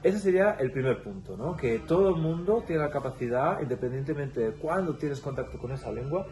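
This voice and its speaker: A man with a Catalan-tinged Spanish accent speaks as an interview guest. His voice sits at an ordinary middle pitch, and he explains thoughtfully, pausing and restarting as he goes. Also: animated, a little mellow. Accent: Catalan-influenced Spanish. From Catalonia, Spain. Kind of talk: casual interview conversation.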